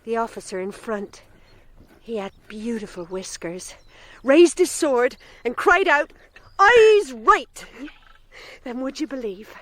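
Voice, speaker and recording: A high-pitched, middle-aged country mother for radio drama. She fusses over everyone, gushes happily and rambles like a scatterbrained romantic, bossing in a motherly way. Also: expressive, takes it slowly, no room sound. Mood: excited, gushing. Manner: gushing, scatterbrained, bossy in a motherly way. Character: chatty, fussing, excitable country mother, romantic storyteller.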